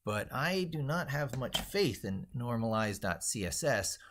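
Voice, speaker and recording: A man's voice: mid-range voice, very animated, a little slow, background noise. A man hosting a casual live screen-share stream, rambling and thinking aloud as he demonstrates things into a microphone. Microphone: speaking into a microphone.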